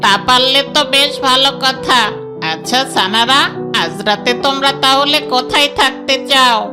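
A woman's voice: high voice, normal speed, close to an everyday voice. A woman voicing a sly fox uncle in a children's cartoon, cajoling with false sweetness and sympathy. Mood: coaxing. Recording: music under the voice.

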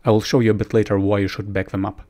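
Man giving a casual software tutorial. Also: fairly low pitch, little pitch movement, very fast. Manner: informal, explaining step by step. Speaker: tutorial presenter.

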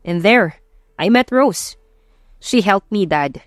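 A woman's voice: medium pitch, animated, slow, no room sound, studio quality. A woman voicing an earnest, emotional young man in a romance audiobook, switching between Tagalog and English. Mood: defiant, confessing. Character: Samuel, earnest wealthy young man defying his parents. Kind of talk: audiobook romance drama acting.

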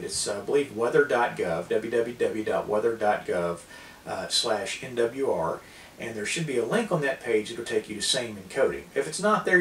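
An American man in his thirties giving an informal explainer to camera, with a halting delivery full of pauses. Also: mid-range voice. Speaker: preparedness video host. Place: small indoor room at a table. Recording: roomy, distant mic, hissy background.

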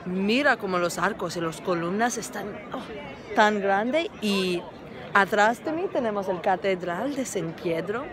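A woman's voice: mid-range voice, expressive, unhurried, crowd noise. A young woman with English as her first language, vlogging on her travels in learner's Spanish with slips and restarts, filming herself at arm's length on a phone. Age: young adult. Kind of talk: travel vlog to camera. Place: outdoors in a city, phone held at arm's length.

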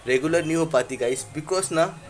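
A man's voice: fairly high pitch, normal speed, soft background music. A young man casually commentating a handheld game, rambling with frequent fillers and English words mixed in, recorded on a phone. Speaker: gaming channel host. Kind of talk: casual Let's Play commentary.

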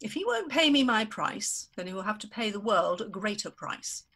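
Woman acting a scheming society lady, Victorian radio play, haughty, slightly husky, very animated. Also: mid-range voice, normal speed. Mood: cool, haughty. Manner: haughty, theatrical. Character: scheming, elegant Victorian society adventuress, female blackmailer.

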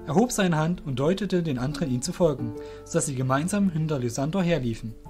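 A male narrator tells a fantasy tale in a fairly high voice. His intonation is animated and varied, with faint music playing underneath. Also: fast talker. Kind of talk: scripted storytelling narration for a fantasy audio drama.

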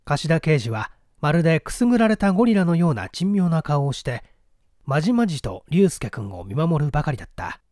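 A man's voice: on the high side, big pitch swings, fairly quick, darkish tone, no echo, clean studio sound. A man narrating a mystery story from a script as an audiobook reader.

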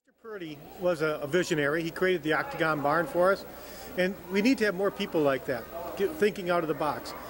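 A man's voice: fairly high pitch, fairly quick, crowd noise. A man in his sixties, a museum volunteer, answering interview questions in a folksy, storytelling way inside a wooden barn. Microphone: handheld mic held by reporter.

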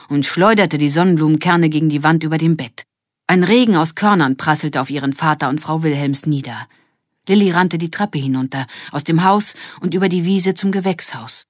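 A woman's voice: low-pitched, dry recording, clean studio sound. A woman narrating a scripted children's story as an audiobook reader.

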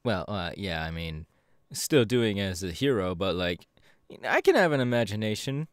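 A man's voice: on the low side, sing-song, normal speed, smooth, no echo, studio quality. A man playing a friendly, bashful young hero with a hesitant, playful manner in an ASMR audio roleplay. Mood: casual, playful.

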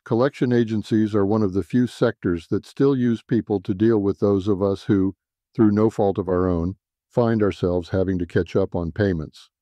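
A male narrator reads a scripted first-person detective story. His voice is fairly low and somewhat mellow. The recording is very dry and close, with little sense of a room. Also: no echo.

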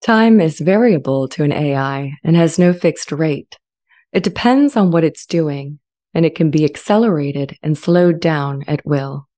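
A woman playing a gentle, thoughtful sentient AI in a dramatized reading, with a calm, measured and reflective delivery. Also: sits low. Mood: serene, thoughtful. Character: sentient female-voiced AI, gentle, serene and thoughtful. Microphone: large studio condenser mic up close.

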